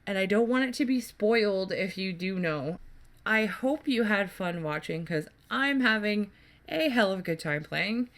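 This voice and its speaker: A woman doing casual gameplay commentary as a YouTuber, rambling along in a chatty, enthusiastic way. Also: mid-range voice. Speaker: gaming YouTuber. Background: game music.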